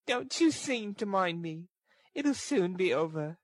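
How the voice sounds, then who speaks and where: A man voicing a weak, long-suffering young woman in a story, soft, tender and pleading. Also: high voice, lively intonation, unhurried, dry recording, voice pushed up. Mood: faint, tearful.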